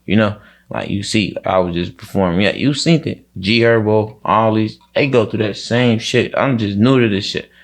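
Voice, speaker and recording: Low-voiced Chicago man, casual and slangy. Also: normal speed. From Chicago, United States. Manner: slangy, repeatedly checking the listener follows. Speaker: interview guest, music artist. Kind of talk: casual conversation.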